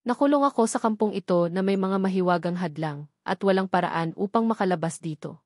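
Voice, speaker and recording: A woman's voice: medium pitch, brisk, darkish tone, no echo, lightly acted. Woman voicing an anxious little fairy girl, dry sound. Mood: worried. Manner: fretful, bashful.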